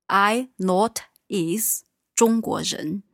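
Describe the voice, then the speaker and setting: A woman from China, a native Mandarin speaker, teaching on a podcast with deliberate model sentences. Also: medium pitch, no echo, studio quality. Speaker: language teacher. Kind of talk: podcast language lesson.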